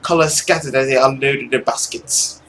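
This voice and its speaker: A young adult man narrating a visual novel aloud as a let's play host, in an expressive, dramatic way. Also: medium pitch, moderate pace, slight background noise, poor audio. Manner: animated, dramatic reading. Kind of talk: expressive story narration with casual commentary.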